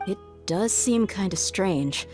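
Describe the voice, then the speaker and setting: A woman's voice: medium pitch, lively intonation, slow pace, big-room sound, faint music behind. A woman playing a blunt, wry, tough young adventurer in a video game, casual and sardonic in manner. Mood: matter-of-fact.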